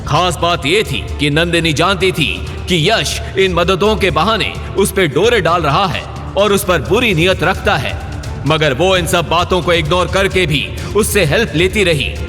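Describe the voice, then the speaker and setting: A man's voice: high-pitched, animated, measured pace, sharp tone. A man giving scripted, dramatic narration for a Hindi audio story. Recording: long reverb, faint music behind.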